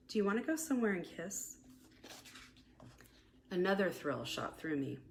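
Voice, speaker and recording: American lady reading her romance memoir out loud, lowish voice, expressive n deliberate, bit of noise behind it. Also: gravelly. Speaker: author reading own novella aloud. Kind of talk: scripted first-person romantic memoir narration.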